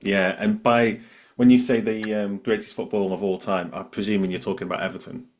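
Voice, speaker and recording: A man co-hosts a podcast and asks his questions in a casual, teasing way. His voice sits low. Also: flat delivery, a little fast, a little hiss or hum. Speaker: podcast co-host.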